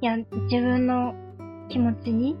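A woman's voice: on the high side, completely flat delivery, takes it slowly. A woman playing a coy teenage girl on a festival date in an amateur radio drama. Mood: shy. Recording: dull lo-fi sound, guitar music behind.

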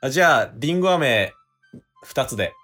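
A man's voice: mid-range voice, animated, normal speed, over music, close to an everyday voice. A man with a Kansai accent playing a bashful, easily flustered young man on a date in an amateur comedy radio drama. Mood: casual, eager. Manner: awkward, easily flustered, stammering.

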